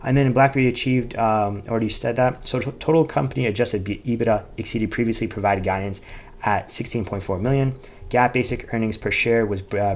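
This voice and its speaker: A man giving informal, unscripted finance commentary, reading out figures in a halting, repetitive way and often correcting himself. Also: lowish voice. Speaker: finance YouTuber reviewing company earnings.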